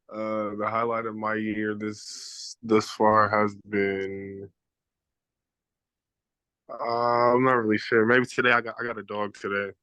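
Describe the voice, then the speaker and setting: A man speaks in a hesitant, low-key way on a video call. His pitch is low and almost completely flat, and the sound is dry. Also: slow pace, long pauses, clean tone, no echo. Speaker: session participant.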